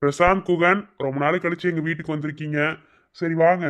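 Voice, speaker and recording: A woman voicing an easygoing adult husband in a much lower voice than her own. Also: fairly even intonation, fairly quick, close to an everyday voice.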